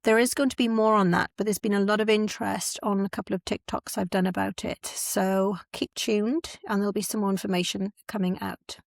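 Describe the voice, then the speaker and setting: A woman's voice: mid-range voice, steady tone, brisk, vocal fry, hardly any pauses, no echo, clean studio sound. A woman hosting a podcast, explaining words patiently and spelling them out letter by letter. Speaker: podcast host. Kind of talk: podcast narration and interviewing.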